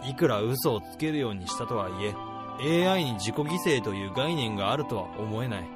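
A man's voice: medium pitch, expressive, brisk, over loud music, close to an everyday voice. A man playing a blunt, hot-tempered AI developer in a radio drama, with dramatic, emphatic delivery. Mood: reflective. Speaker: lead character and narrator.

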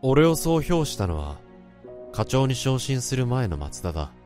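A man's voice: mid-range voice, very animated, moderate pace, lightly acted. A man playing a brooding office worker who narrates his story in a somber, introspective radio drama. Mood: melancholy. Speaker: narrator and lead. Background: music.

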